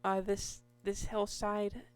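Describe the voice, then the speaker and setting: A woman with a hoarse voice giving casual, meandering commentary over her Minecraft gameplay, restarting sentences often. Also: mid-range voice, little pitch movement, soft background music. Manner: slow, meandering, with many restarts and fillers. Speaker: Minecraft YouTuber.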